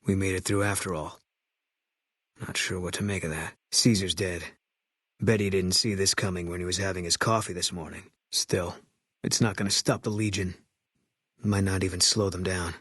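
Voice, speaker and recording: A man voicing a grim, embittered military sniper in a video game, terse and guarded, with a husky voice. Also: deep voice, normal speed, no room sound, studio quality. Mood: grim, resolute. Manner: terse, guarded, flat, clipped delivery. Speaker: video game companion character. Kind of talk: game voice acting, terse dialogue lines.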